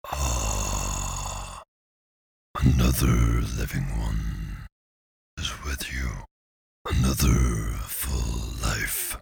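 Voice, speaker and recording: A narrator voicing a monstrous, gloating creature of the dark with a gravelly growl and slow, ominous delivery in an audiobook story. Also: a bass voice, no room sound, pitched below the performer's own voice, full character voice. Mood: gloating menace. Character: monstrous gloating charnel-ground creature speaking from the forest darkness.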